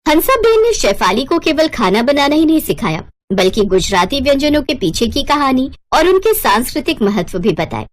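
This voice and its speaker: A woman's voice: sits high, very animated, moderate pace, few pauses. A woman narrating a scripted moral bedtime story as a storyteller.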